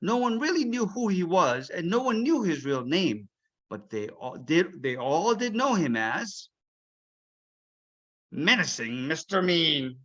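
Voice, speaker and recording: A man reads a children's story aloud in an expressive, highly animated way. His voice is fairly high and bright, and the recording is slightly dull. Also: a little slow. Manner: expressive, checks in with listeners. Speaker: author reading aloud.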